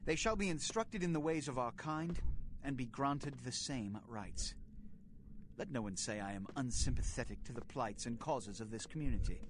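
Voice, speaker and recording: An actor playing a solemn, pompous vampire prince in a video game, speaking in formal, measured, grandiloquent oratory. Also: male voice, medium pitch, lively intonation, background noise, natural voice. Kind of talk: theatrical video game speech.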